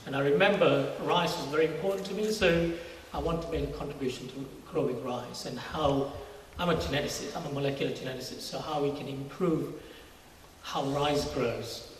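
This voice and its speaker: A middle-aged man from Singapore explaining his work as an interview guest in a church, conversational, with halting phrases. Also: on the high side. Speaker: interview guest, university research scientist. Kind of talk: informal testimony interview. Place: large church hall, indoors. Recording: very echoey church hall, distant mic, faint noise.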